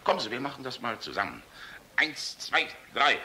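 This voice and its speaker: A man's voice: mid-range voice, very animated, moderate pace, bright, forceful tone, a little hiss or hum, heavily acted. An actor playing a jovial, outspoken, fatherly air force general in a German radio drama, hearty, sardonic and commanding. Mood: urging.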